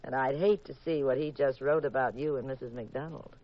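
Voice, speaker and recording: Sardonic detective's wife, playful and arch, muffled old 50s radio show. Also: female voice, a bass voice, brisk. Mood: teasing, sarcastic. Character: sharp, teasing wife of a detective. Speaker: lead actress and narrator. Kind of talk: 1950s radio detective drama acting. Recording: muffled vintage radio recording.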